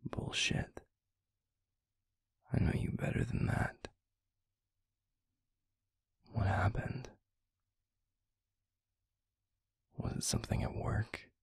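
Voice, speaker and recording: A man playing a tender, protective werewolf boyfriend in an intimate roleplay audio drama, speaking in a hushed, breathy, pleading way. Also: takes it slowly, long pauses, dry recording, whispered, natural voice. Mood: gentle concern. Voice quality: breathy, gravelly, husky low voice. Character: tender, protective, stubbornly caring adult male werewolf boyfriend.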